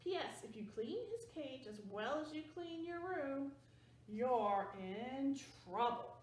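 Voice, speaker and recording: A woman's voice: high-pitched, lively intonation, takes it slowly, a little hiss or hum. Woman reading as a dryly teasing mom. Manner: dry, teasing. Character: patient, dryly teasing mother. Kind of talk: picture book read-aloud. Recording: roomy sound from a distance.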